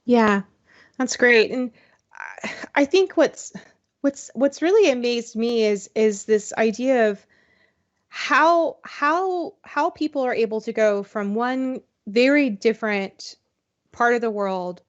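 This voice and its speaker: A woman's voice: on the high side, lively intonation, slow pace. A woman hosting a conversational interview, asking long, thoughtful questions. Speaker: host interviewer. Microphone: video call. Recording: dull, lo-fi video call.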